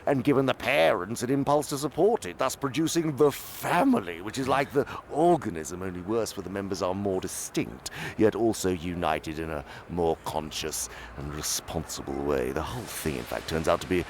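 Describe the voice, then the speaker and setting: An actor playing a senior demon in a radio drama, a sly, imperious mentor who speaks pompously and savors each word with theatrical emphasis in a gravelly voice. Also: male voice, low-pitched, moderate pace, hardly any pauses, a little hiss or hum. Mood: sardonic lecturing.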